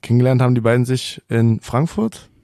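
A man's voice: mid-range voice, brisk, dark tone, no echo, studio quality. A man around thirty, raised bilingual in Danish and German, chatting loosely as a podcast guest with lots of fillers and small restarts. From Denmark and Germany. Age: 30s. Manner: loose, rambling storytelling with many fillers. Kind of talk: casual podcast conversation.

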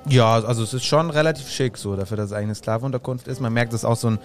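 A man's voice: medium pitch, racing, soft background music. Laid-back man narrating as game master. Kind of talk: narrating a tabletop role-playing game.

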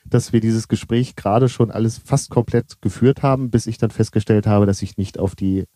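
A man's voice: on the low side, moderate pace, warm tone, no echo, clean studio sound. A man hosting an interview podcast, asking friendly, chatty questions. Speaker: podcast host. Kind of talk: casual interview.

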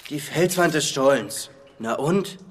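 A man's voice: on the high side, animated, a little slow, faint music behind. A man playing a naive, hungry young boy toy figure in a German children's audio drama. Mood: puzzled.

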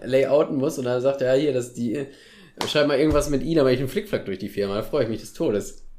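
A man's voice: medium pitch, quick pace, a little mellow, slight background noise. A young man in casual, humorous podcast banter, talking in youthful slang with playful exaggeration. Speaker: podcast co-host.

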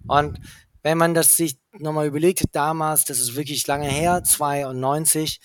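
A man in his forties who grew up in England, a podcast guest chatting casually, rambling, restarting his sentences and talking in quick bursts. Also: on the high side, flat delivery, no room sound, clean studio sound. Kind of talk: casual conversation.

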